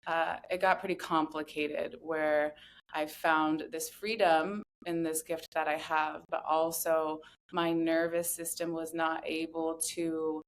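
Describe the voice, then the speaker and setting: A woman in her thirties with a distinctly low voice talks reflectively as an interview guest. She is earnest and chooses her phrases with deliberate care, letting them land in a measured way. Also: flat delivery, slight background noise. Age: 30s. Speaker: podcast guest, somatic voice guide. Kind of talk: reflective personal interview talk.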